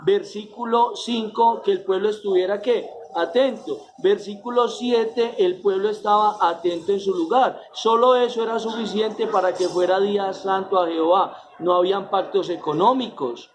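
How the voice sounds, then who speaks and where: A man's voice: high voice, moderate pace, few pauses, slight background noise. A man preaching a sermon as pastor, stressing words by drawing them out and slipping in joking asides. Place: church. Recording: dull lo-fi sermon recording.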